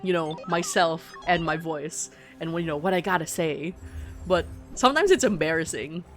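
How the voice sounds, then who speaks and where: A woman's voice: lowish voice, moderate pace. A woman with a middle-class Filipino English accent chats casually and playfully to viewers as she streams a game, often interrupting herself. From Philippines. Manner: talkative, self-interrupting, playful. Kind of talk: casual chat to viewers while gaming. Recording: music playing behind the voice. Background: game music.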